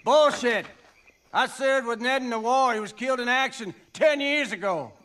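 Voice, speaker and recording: An actor playing a suspicious, commanding resistance leader and war veteran in a game. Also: male voice, very high voice, moderate pace, fairly bright tone. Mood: suspicious anger. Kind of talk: video game western acting.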